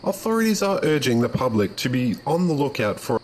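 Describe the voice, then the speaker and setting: An actor playing a grave, measured radio news anchor reading a scripted bulletin. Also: male voice, medium pitch, sing-song, darkish tone, a little hiss or hum, natural voice. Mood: grave, formal.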